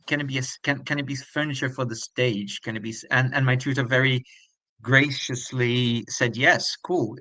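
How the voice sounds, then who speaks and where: A man's voice: medium pitch, bright, forceful tone, no echo. A middle-aged man from Brazil, a native Portuguese speaker, telling his story as an interview guest in a reflective way that is full of restarts. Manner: reflective, thinks aloud with frequent restarts.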